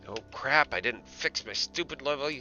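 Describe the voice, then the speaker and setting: A man's voice: medium pitch, expressive, normal speed, sharp tone, muffled recording, soft background music. A man giving casual live gameplay commentary, thinking aloud, muttering to himself and tossing playful asides to the characters. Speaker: streamer playing a game.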